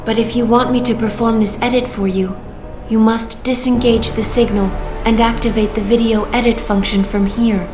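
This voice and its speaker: A woman voicing a female artificial intelligence in a video game, speaking in a smooth, measured and coolly persuasive way. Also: fairly high pitch, steady tone, close to an everyday voice. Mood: calm persuasion. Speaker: AI guiding the player through a choice. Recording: roomy game audio with clear music.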